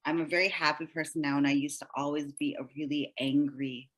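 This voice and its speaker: A woman's voice: low-pitched, fairly even intonation, a little fast, fairly bright tone. A middle-aged woman speaking earnestly as an interview guest, explaining things and often checking in with the listener. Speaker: podcast guest, energy healer. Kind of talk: heartfelt interview conversation.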